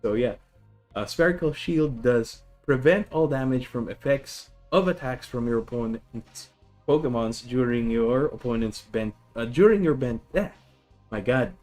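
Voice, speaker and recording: A man's voice: medium pitch, expressive, measured pace, darkish tone, faint music behind. A man with a Filipino accent chatting casually on a live stream, explaining a card deck, often correcting himself and greeting viewers. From Philippines.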